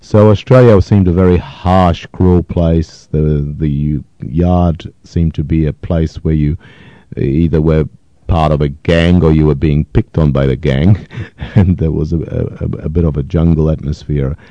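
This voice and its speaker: A man in his fifties, originally from Poland and a native Yiddish speaker, recalls his past in a thoughtful, hesitant way with many pauses. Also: rumbling low, steady tone, dark tone, no room sound, muffled recording. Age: 50s. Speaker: interviewee. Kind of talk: reflective oral history interview.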